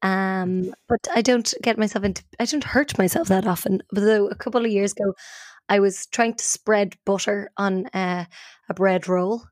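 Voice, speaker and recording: A woman's voice: mid-range voice, steady tone, normal speed, darkish tone, no echo, studio quality. A woman with an Irish accent, talking casually as co-host of a storytelling podcast. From Ireland.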